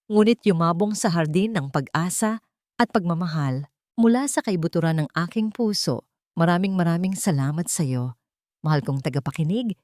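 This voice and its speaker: A woman narrating a scripted story in an earnest, sentimental, measured way. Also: low voice, a little mellow, dry recording, studio quality.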